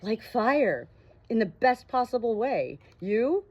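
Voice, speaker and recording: A woman's voice: a little high, very animated, slow, slightly rough, highs cut off, pitched above the performer's own voice, exaggerated voice. A woman voicing an eager, earnest young man in an audiobook, speaking casually and emphatically. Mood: exhilarated.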